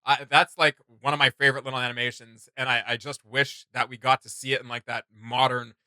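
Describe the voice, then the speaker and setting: A man's voice: medium pitch, level tone, fairly quick, bright, forceful tone, no echo. A man chatting casually and enthusiastically as a reaction host, rambling and slangy. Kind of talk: casual enthusiastic fan chat.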